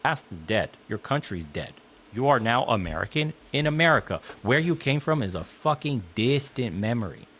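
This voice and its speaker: A man heard in a clip played inside a reaction video, delivering a blunt opinion rant. He swears constantly and hammers his points home with short, emphatic statements. His voice sits at an ordinary male pitch. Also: big pitch swings, normal speed, fairly bright tone, breathy edge. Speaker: commentator in a clip being reacted to.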